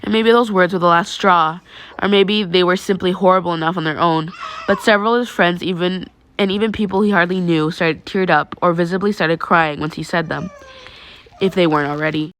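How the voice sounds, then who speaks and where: A woman's voice: on the low side, steady tone, a little fast, fairly bright tone, slight background noise. A woman narrating fan fiction in the style of an amateur audiobook.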